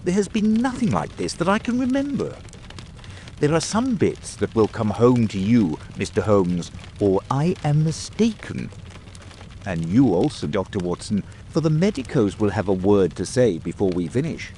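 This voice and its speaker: A man's voice: lowish voice, very animated, moderate pace, dark tone, a little hiss or hum. A man voicing a genial, eager provincial police detective in an audiobook drama, with a hearty, chatty manner. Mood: excited.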